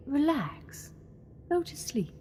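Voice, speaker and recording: A woman's voice: high-pitched, very animated, takes it slowly, dark tone, full character voice. A woman playing a sinister alien posing as a goddess, coaxing and theatrical, seductive and mocking, in an audio drama. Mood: ominous. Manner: coaxing, theatrical, purring endearments.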